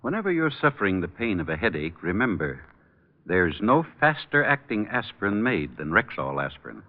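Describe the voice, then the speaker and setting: A thin, phone-like vintage radio recording of an American man. He has a lowish voice with lively intonation, reading an advertising pitch as a scripted spokesman. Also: normal speed. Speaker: commercial spokesman.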